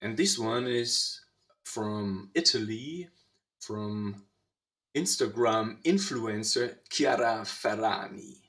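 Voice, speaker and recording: A man with a German accent hosting a casual online panel in a deliberate, halting way. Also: lowish voice, lively intonation, fairly bright tone. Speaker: host. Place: online video call.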